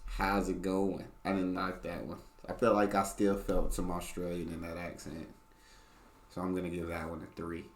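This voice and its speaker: A man's voice: low-pitched, level tone, moderate pace, creaky, a little hiss or hum. An American man hosting a reaction video, chatting casually with a playful, teasing, slangy manner.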